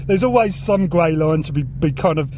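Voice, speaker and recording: High-voiced matey southern English man. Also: animated, normal speed, noisy background. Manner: matey, informal, enthusiastic. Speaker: YouTube vlogger and radio hobbyist. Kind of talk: casual chat while demonstrating and talking on CB radio. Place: in and around a parked car outdoors.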